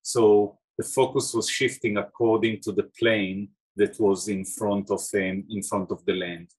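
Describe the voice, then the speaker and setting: A middle-aged man from Israel with an Israeli accent, an artist talking over a video call about his films, thoughtful, pausing with fillers. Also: lowish voice, little pitch movement, a little mellow, slightly rough. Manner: thoughtful, many restarts and fillers. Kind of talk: talk about his own work. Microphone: video call webcam.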